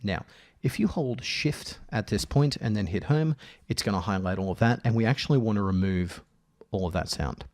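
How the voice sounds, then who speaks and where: A man narrating a casual software tutorial as its presenter, thinking aloud in a halting way. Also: fairly low pitch. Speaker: audio editing tutorial presenter.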